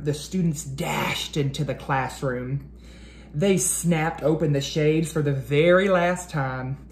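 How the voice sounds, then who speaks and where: A man hosting a children's read-aloud, speaking expressively to young listeners with drawn-out storybook phrasing, as an educator. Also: on the high side. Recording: noticeable background noise.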